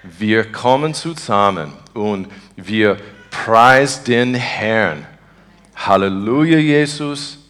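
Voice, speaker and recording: An American man preaches and prays with earnest devotion in a lowish voice. His delivery is extremely slow and halting. As a native English speaker, he searches for each word and builds broken, simple sentences with an American accent. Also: expressive, fairly bright tone. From Texas, United States. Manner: halting, searching for words in broken beginner German; earnest, devout. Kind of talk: informal sermon and spontaneous prayer.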